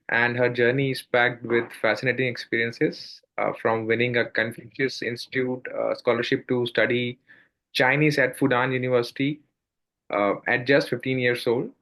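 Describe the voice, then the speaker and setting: A man's voice: mid-range voice, fairly bright tone, rough recording. A man hosting an interview show, reading an introduction and asking questions with frequent uhs and you-knows. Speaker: podcast host.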